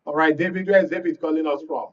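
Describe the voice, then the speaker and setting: A man's voice: on the high side, fairly even intonation, fast. A man with a Nigerian accent hosting a live radio phone-in show. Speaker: radio talk show host. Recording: dull, distant broadcast sound.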